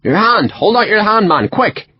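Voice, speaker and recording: A man's voice: a little high, sing-song, brisk, dull audio, a little hiss or hum, voice pushed up. A man doing a hearty West Country army surgeon. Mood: urgent. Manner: hearty, brusque. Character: cheerful, brusque male army surgeon chewing a cigar.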